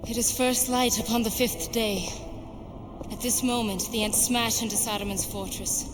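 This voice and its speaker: A woman's voice: on the high side, fairly even intonation, normal speed, noisy background, close to an everyday voice. A woman playing a noble, solemn elven guide in a video game, speaking formally and portentously. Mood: solemn, urgent. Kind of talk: video game cutscene acting. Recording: long hall reverb, loud music behind.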